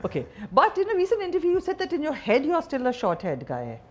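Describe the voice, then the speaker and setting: A woman's voice: high voice, huge pitch swings, fast, darkish tone, dull audio. A woman with an Indian accent interviewing informally, asking direct, teasing questions in a conversational style. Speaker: journalist interviewer.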